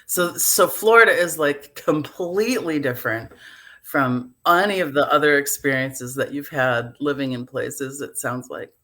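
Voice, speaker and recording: A woman's voice: extremely low pitch, a little slow, a bit bright. A woman hosts a healing live stream from a home video call, talking in a chatty way with many fillers. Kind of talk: casual live-stream talk.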